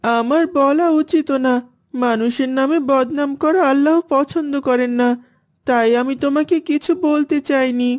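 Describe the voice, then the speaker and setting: A woman's voice: high-pitched, fairly even intonation, moderate pace, a little mellow, close to an everyday voice. A woman voicing a humble, pious young servant boy in a cartoon, meek and earnest. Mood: guarded, innocent. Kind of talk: cartoon voice acting.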